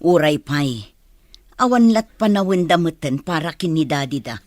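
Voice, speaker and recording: A woman's voice: low voice, animated, normal speed, a little mellow, no echo. A woman with an Ilocano Filipino accent playing an outspoken, disapproving older relative in a radio drama.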